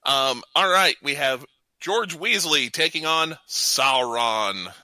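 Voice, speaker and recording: A man's voice: on the high side, lively intonation, takes it slowly, sharp tone, no echo. A man co-hosting a casual podcast, geeky in manner, reading out matchups and bantering with his co-hosts. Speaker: podcast co-host. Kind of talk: casual bantering panel chat.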